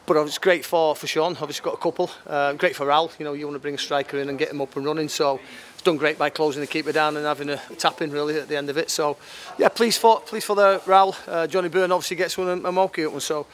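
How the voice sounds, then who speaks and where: Middle-aged man, slightly high voice, noisy outdoors. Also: steady tone, quick pace, few pauses. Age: 50s. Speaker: football coach giving post-match interview. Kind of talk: unscripted post-match interview. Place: outdoors at a football ground at night. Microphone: handheld interview microphone. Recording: clear outdoor background noise.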